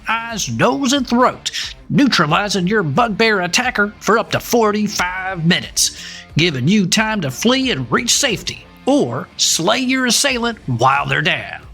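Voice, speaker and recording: A very high, bright and punchy commercial announcer. He reads parody radio ad copy in a slick, salesy, straight-faced way over a light music bed. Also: heavily acted. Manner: salesy, crisp list reading, straight-faced. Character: slick, upbeat commercial announcer for fantasy monster spray. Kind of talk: parody radio advertisement, scripted.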